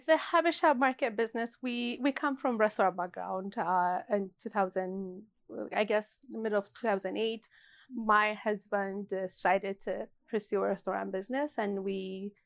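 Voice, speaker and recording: Gravelly-voiced Ethiopian-born woman, hesitant. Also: medium pitch, steady tone, a little slow, dry recording. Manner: hesitant, many fillers and restarts. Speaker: interview guest, shop co-owner. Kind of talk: casual interview.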